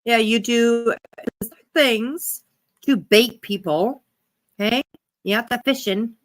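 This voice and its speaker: A woman's voice: fairly high pitch, slow pace, a little hiss or hum, low-quality recording. A woman giving casual reaction commentary as a livestream host, talking in a blunt, sweary way.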